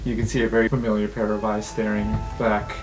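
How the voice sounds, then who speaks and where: A man's voice: on the low side, flat delivery, fast, poor audio. A man narrating an improvised tabletop roleplaying game as game master. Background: music.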